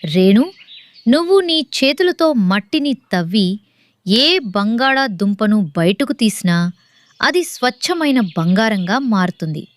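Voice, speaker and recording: A woman's voice: medium pitch, lively intonation, a little slow, dry recording, natural voice. A woman voicing a benevolent, motherly earth goddess in a children's cartoon, solemn and comforting. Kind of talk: children's animated story dialogue.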